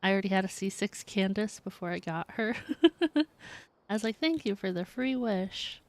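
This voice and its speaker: A woman's voice: mid-range voice, moderate pace, no echo. A woman streaming a video game, chatting casually and reading the game text aloud. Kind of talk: casual gameplay commentary.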